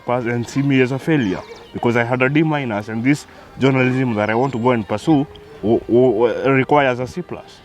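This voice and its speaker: A man's voice: medium pitch, a little fast, soft background music. A man in his thirties with a Kenyan accent, a guest telling his life story, who hesitates and repeats words as he starts. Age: 30s. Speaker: interview guest.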